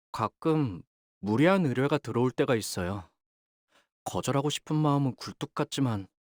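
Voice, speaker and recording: A man playing an earnest, dutiful young fixer in a video game, polite and soft-spoken but easily flustered. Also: mid-range voice, dry recording, studio quality, lightly acted. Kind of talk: expressive game character voice lines.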